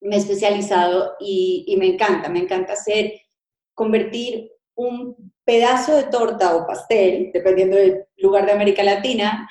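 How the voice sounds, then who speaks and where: A middle-aged woman born in Colombia, a cake designer, telling her story in a lively conversational way and acting out little dialogues. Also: mid-range voice, moderate pace, roomy sound, low-quality recording. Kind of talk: conversational interview.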